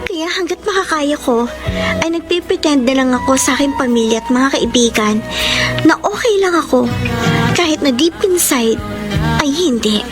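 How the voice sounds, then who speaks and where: A woman's voice: high-pitched, expressive, moderate pace. A woman playing an abused wife in a Filipino radio drama, plaintive and emotional, pleading yet defiant. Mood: sorrowful narrating. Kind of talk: Filipino radio drama acting with letter narration. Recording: loud background music.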